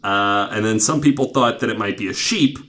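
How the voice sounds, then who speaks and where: A man's voice: fairly low pitch, brisk, fairly bright tone. A man hosting a podcast, telling stories casually to co-hosts in an informal, wryly skeptical way with some swearing. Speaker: podcast host.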